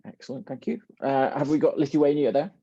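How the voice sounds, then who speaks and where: Mellow man moderating, dry muffled audio. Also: on the high side, steady tone, fairly quick, husky, dry recording. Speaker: host and moderator of a student symposium. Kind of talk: informal moderating on a group video call. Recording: dull, dry video-call audio.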